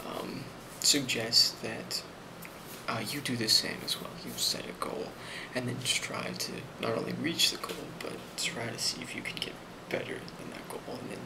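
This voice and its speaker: Guy doing asmr office boss roleplay, soft halting talk, creaky voice, kinda noisy recording. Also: mid-range voice, fairly even intonation. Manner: soft-spoken, often slipping toward a whisper; hesitant, halting, many ums and pauses. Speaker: ASMR role-player playing a company boss giving a performance review. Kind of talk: ASMR role play, improvised monologue. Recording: clearly audible background noise.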